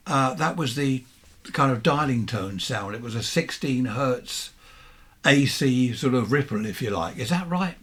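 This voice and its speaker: A man in his seventies with a southern English accent and a husky voice, giving a chatty, rambling, unscripted show-and-tell as a hobbyist, often questioning himself. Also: medium pitch, expressive, unhurried, a bit bright. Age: 70s. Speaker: amateur radio hobbyist presenting vintage equipment.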